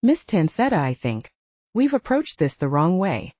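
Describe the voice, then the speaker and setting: A woman voicing a brooding supernatural man in an audiobook, soft-spoken, formal and restrained. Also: sing-song, moderate pace, no room sound, highs cut off. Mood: grave, restrained. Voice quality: soft, low. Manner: formal, grave, controlled. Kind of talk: audiobook character dialogue.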